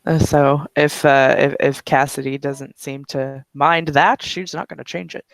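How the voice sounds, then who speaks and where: A game master narrates a tabletop roleplay scene, rambling with lots of fillers. The voice is gravelly and mid-pitched, recorded close in a dry room. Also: flat delivery, a little slow, a bit bright, no room sound.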